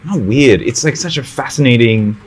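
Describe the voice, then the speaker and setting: A man in his thirties, born in India and raised in Australia, talking as a guest in a casual chat at a restaurant, animated and full of fillers. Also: mid-range voice, moderate pace. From born in India, grew up in Australia. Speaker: guest artist. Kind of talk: casual conversation. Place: restaurant, indoors.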